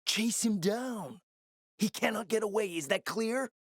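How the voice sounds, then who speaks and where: A man's voice: high-pitched, measured pace, a bit bright, dry recording, studio quality, full character voice. A man playing a cunning spymaster in a video game, speaking in a theatrical, urgent and sardonic way. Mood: alarmed, pressing. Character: cunning, theatrical male spymaster scheming over a radio. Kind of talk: dramatic video game voice acting.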